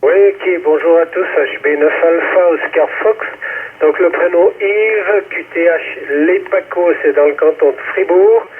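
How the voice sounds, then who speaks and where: A man making a casual amateur radio check-in, hesitant and halting as he talks. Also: a little high, slightly rough. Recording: tinny radio link with faint noise.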